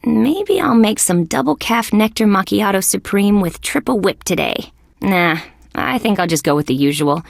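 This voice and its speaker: A woman's voice: medium pitch, animated, normal speed, vocal fry, no echo, studio quality. An actress playing a tough, sardonic young female police detective in a video game, with a wisecracking delivery. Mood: dry, sarcastic. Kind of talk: video game character lines.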